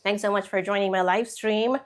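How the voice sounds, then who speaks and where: A woman's voice: mid-range voice, brisk. Chatty woman teaching. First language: Hindi. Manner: chatty, animated, frequent asides and tag questions. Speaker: English teacher. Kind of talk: live stream English lesson.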